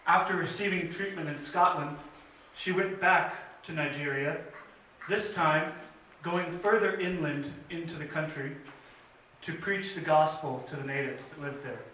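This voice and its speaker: A man's voice: fairly high pitch, pushed and bright, slight background noise. Earnest man telling a story in an echoey church. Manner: earnest, measured telling with pauses and fillers. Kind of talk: children's story time retelling. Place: church sanctuary. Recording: very echoey church hall from a distance.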